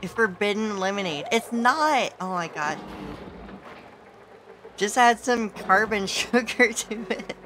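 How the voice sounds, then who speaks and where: A woman streaming a game with casual, playful commentary, laughing often, her voice a little hoarse and sore. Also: medium pitch, expressive, takes it slowly, noisy background. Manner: giggly, playful, exclaims at the game.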